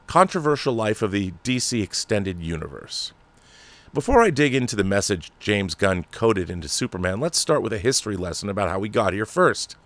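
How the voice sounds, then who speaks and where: A man with a fairly low voice hosts a film commentary show. He speaks emphatically and conversationally, dropping in asides. The recording is dry and close, with little room sound. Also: fairly bright tone, no room sound. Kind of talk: casual commentary and reading an article aloud.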